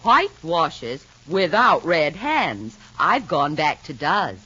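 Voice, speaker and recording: Bright, emphatic radio ad housewife. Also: female voice, sits low, big pitch swings, takes it slowly, breathy edge, exaggerated voice. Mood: earnest, pleased. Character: earnest, satisfied housewife in a soap advertisement. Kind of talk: 1950s radio commercial testimonial. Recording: muffled old radio recording.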